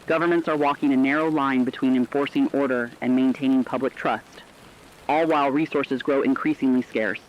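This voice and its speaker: A man voicing a serious news correspondent in a dystopian radio broadcast, reading formal, measured scripted reports. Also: medium pitch, steady tone, muffled recording, a little hiss or hum, close to an everyday voice.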